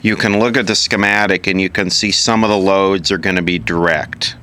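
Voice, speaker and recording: A man's voice: low voice, fairly even intonation, a little fast, bright, forceful tone, clear, resonant tone. A man giving an informal hands-on repair tutorial, matter-of-fact and thinking aloud piece by piece as an instructor.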